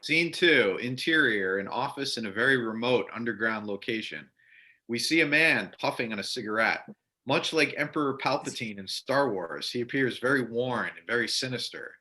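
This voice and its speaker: Bright-voiced man narrating a script. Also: medium pitch, animated, normal speed, poor audio. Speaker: narrator reading stage directions. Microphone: video or conference call.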